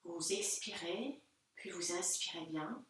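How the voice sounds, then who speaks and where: Echoey, distant woman guiding relaxation. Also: on the low side. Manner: gentle, unhurried, pausing between short phrases. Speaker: yoga teacher. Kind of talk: guided Yoga Nidra relaxation instruction. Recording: very echoey room, distant microphone.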